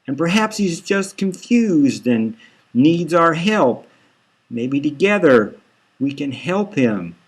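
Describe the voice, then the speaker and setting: A man voicing a guileful female crime fighter who coaxes a suspect kindly in a dark satirical audio novel. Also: on the high side, big pitch swings, slow pace, breathy edge, pitched above the performer's own voice, exaggerated voice. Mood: gently probing. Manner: coaxing, soothing, leading questions.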